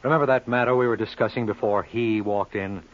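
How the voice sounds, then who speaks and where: An actor as a wisecracking American radio gumshoe lawyer, muffled. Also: male voice, fairly low pitch, fairly even intonation, brisk, natural voice. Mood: brusque. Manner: dry, sardonic delivery. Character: wisecracking, world-weary male lawyer-detective, sardonic and brash. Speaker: lead actor and narrator. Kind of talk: 1950s radio detective drama acting. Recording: muffled old radio broadcast, faint music.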